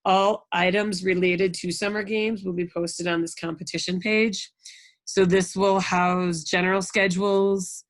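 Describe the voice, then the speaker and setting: A woman's voice: on the low side, steady tone, a little slow, no echo. An American woman leading a conference call as a program coordinator, giving an informal, encouraging briefing and often checking in with the group. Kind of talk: informal instructional briefing to coaches.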